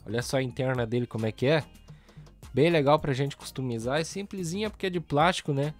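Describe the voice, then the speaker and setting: Hoarse, congested Brazilian man vlogging. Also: medium pitch, quick pace, faint music behind. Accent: Brazilian Portuguese. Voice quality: nasal. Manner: relaxed slangy chatter, talks while working. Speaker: toy truck customizing YouTuber. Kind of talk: casual hands-on tutorial narration.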